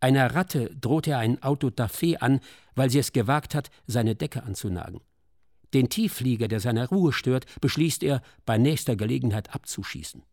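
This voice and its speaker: A man's voice: medium pitch, expressive, normal speed, a little mellow, no echo, clean studio sound. A man narrating a scripted, literary radio drama.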